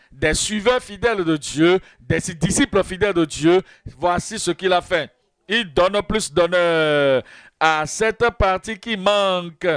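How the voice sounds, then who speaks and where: A man preaching at a Bible study, repeating verse references and key phrases in a slow, measured teaching manner. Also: high voice, a bit bright, clear, resonant tone, no echo. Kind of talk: sermon.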